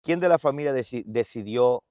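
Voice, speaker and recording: A man's voice: mid-range voice, fairly quick, mellow, no room sound, clean studio sound. A man hosting a casual interview, upbeat, dropping English words into his Spanish. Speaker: host. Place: USA.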